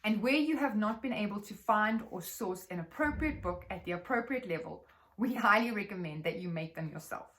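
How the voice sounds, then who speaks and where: A South African woman giving an instructional talk to teachers as a trainer, explaining in a measured, articulate way. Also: mid-range voice, animated, fairly bright tone.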